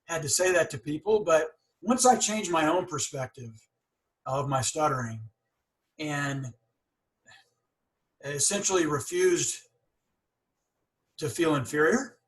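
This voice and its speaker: A man's voice: fairly high pitch, expressive, slow pace, long pauses. A man in his forties hosting a podcast, talking candidly in a casual interview with frequent stuttering blocks and repeated syllables. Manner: candid and earnest. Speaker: podcast host.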